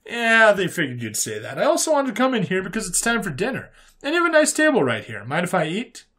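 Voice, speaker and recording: Breezy human interrogator played by a male narrator, pitched up and swinging, folksy sci-fi storybook good guy. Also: quick pace, heavily acted. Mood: breezy, friendly. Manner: folksy, calm, drawling colloquial phrases.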